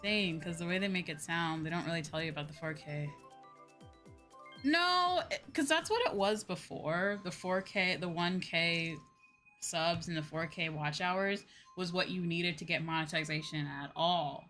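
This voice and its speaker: A woman with a distinctly low-pitched voice talks casually on a gaming livestream. Her delivery is informal and conversational, leaning on repeated words for emphasis. Music plays clearly behind her. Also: normal speed, sharp tone. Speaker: livestreamer, VTuber. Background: background music.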